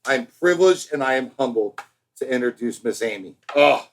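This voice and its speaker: An American man co-hosting a podcast in casual, bantering talk, with an animated, showy and teasing manner. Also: mid-range voice, normal speed, faint music behind. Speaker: podcast co-host.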